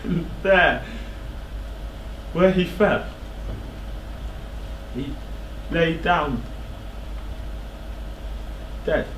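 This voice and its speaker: Guilt-racked king for stage tragedy, male actor: high voice, halting, overwrought delivery, faint noise behind. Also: a bit bright. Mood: anguished confession. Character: anguished king, haunted by guilt and dread. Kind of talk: classical tragedy stage acting. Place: indoor theatre stage. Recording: echoey theatre stage, clear background noise.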